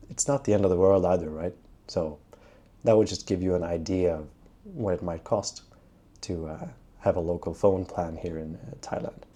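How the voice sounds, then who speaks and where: A young man from Sweden talking casually to camera in a travel vlog, chatty and relaxed, with frequent filler sounds. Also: on the low side. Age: young adult. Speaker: travel vlogger.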